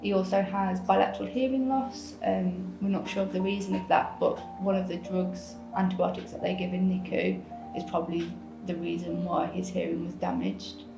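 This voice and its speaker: Mum in her 30s talking calm and gentle, lots of ums, bit gravelly, echoey room with music behind. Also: on the low side, moderate pace. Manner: gentle, steady, frequent ums. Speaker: parent interviewed in a video. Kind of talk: personal account in a documentary clip. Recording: roomy sound with background music.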